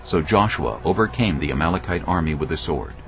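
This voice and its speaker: A man narrating scripture in a measured, expressive reading. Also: rumbling low, highs cut off, soft background music.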